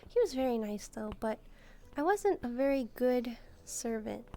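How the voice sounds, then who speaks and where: A woman voicing a gentle, shy demon maid in a soft-spoken roleplay, speaking hesitantly as she muses aloud. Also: a little high, animated, unhurried. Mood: gentle, chatty. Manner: hesitant, timid, musing aloud. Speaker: roleplay video creator. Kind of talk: soft-spoken first-person roleplay, relaxing.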